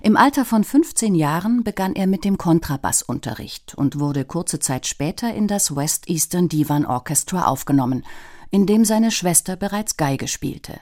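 A woman reading a scripted documentary narration in a measured, even way. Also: lowish voice.

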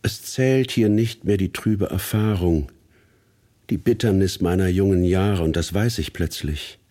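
An actor narrating a radio play as a grave literary storyteller, measured, solemn and theatrical. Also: male voice, sits low, dark tone. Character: grave, literary male narrator of a criminal's life.

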